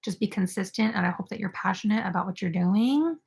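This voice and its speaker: Chatty young woman with heavy vocal fry. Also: medium pitch, expressive, a little fast, darkish tone. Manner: chatty, filler-heavy, reads viewer comments aloud. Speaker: crochet YouTuber hosting a live stream. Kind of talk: casual live-stream chat.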